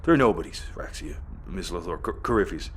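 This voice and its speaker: Oily space villain, very low pompous voice, florid and cowardly, sci-fi drama. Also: male voice, animated, fairly quick, a bit bright, a little hiss or hum. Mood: nervous, grovelling. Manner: florid, stammering when nervous. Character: pompous male villain, oily braggart, nervously grovelling. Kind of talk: sci-fi audio drama acting.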